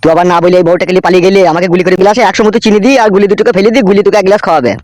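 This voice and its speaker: A man's voice: high voice, fairly even intonation, very fast, a little mellow, dry recording, natural voice. A man voicing a bullying, foul-mouthed henchman in a comic cartoon. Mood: stern warning. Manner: foul-mouthed, warning, coarse. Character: gruff-talking, bullying male henchman of a local politician.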